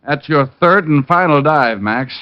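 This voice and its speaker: Cocky private eye, breezy, wisecracking, lively pitch, dull vintage radio recording. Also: male voice, a little slow. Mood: wry, knowing. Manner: breezy, wry. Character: cocky, wisecracking, flirtatious male private detective. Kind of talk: old-time radio detective drama acting.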